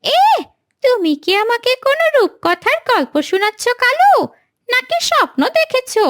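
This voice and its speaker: A mocking female crow from a children's cartoon. The voice is shrill and very high, with lively swings. It sounds disbelieving, scornful and sarcastic. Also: normal speed, no echo, studio quality, exaggerated voice.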